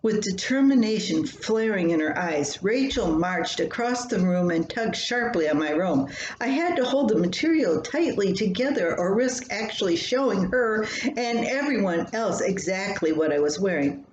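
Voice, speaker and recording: An older woman reading her own family column aloud in an unhurried, plain, homespun way. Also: medium pitch, lively intonation, highs cut off. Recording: roomy home recording with faint noise.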